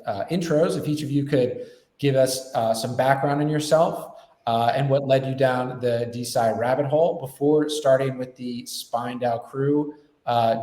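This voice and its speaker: A man's voice: medium pitch, dark tone. A man hosting an online AMA, introducing guests with many "uh" fillers on a video call. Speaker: host. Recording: echoey room.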